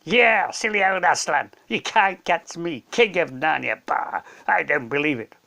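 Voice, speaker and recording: A man's voice: on the high side, lively intonation, unhurried, creaky, pushed and bright, exaggerated voice. A man voicing a young boy in a children's storybook, cheeky but easily made meek. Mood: mocking. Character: boy, cheeky and mocking, turning meek and pleading.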